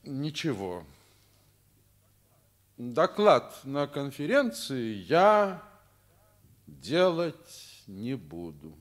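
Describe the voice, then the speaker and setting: An actor in a Soviet radio play playing a middle-aged, principled school principal and worried father, speaking in a deliberate, reflective manner. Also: mid-range voice, big pitch swings. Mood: tense. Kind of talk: Soviet radio drama acting, narrating.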